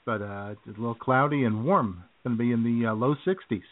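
A man's voice: mid-range voice, fast, a little hiss or hum. A man hosting a radio show in casual, conversational chat. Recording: narrow tinny phone-like sound.

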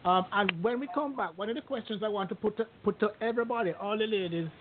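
A man's voice: high voice, quick pace, crowd noise. A man hosting a radio talk show, asking probing questions and often giving short affirmations. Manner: probing, frequent brief affirmations. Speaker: radio host. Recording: phone-like line with faint noise.

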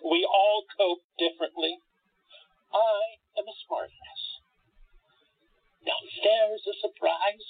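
An actor playing a wry, lonely smart-aleck harlequin doll in an audio drama, with deliberate sardonic delivery. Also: mid-range voice, steady tone, gravelly, husky, no room sound, heavily acted. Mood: sarcastic. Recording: muffled phone-line sound.

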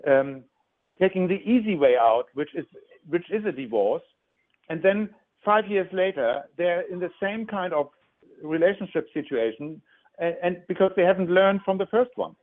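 A man's voice: high voice, moderate pace. A man in his sixties with a German accent, a relationship expert explaining his ideas in an interview in an earnest manner, often restarting his words. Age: 60s. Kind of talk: interview answers. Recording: phone-like narrow sound.

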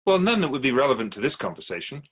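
A man playing an otherworldly, patient keeper of souls in a radio drama, speaking in measured, formal phrases with gentle questions. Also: mid-range voice, big pitch swings. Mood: patient, explaining. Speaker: guest on a radio show. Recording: thin phone-like band-limited audio.

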